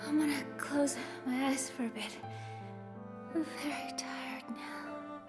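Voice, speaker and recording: A frail young woman, high and breathy, speaking slowly as if fading. It is tender game drama acting in long reverb. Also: steady tone, whispered. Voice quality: weak, breathy. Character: frail, dying young girl, gentle and weak. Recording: long reverb with music behind.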